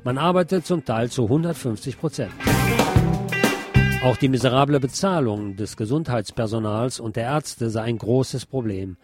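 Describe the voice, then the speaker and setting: A man's voice: medium pitch, normal speed. Man reading radio news over music. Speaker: radio presenter. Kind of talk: scripted news narration.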